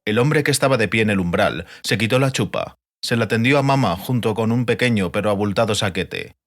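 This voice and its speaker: A man's voice: fairly low pitch, lively intonation, brisk, a bit bright. A man reading scripted literary narration as an audiobook narrator.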